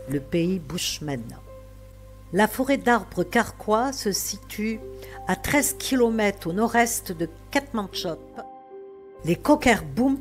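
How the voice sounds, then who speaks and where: A woman narrating her road trip in a travel vlog, unhurried and with hesitant fillers. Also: mid-range voice. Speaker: travel vlogger. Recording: faint music in background.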